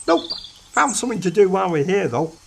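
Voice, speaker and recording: A reader puts on a blunt, sardonic male time-traveller with a gruff, offhand delivery. His voice sits a little higher than a typical man's, with a soft hiss in the background. It suits a storybook reading. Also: big pitch swings, brisk, heavily acted. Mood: dry. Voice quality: gruff, casual, dry.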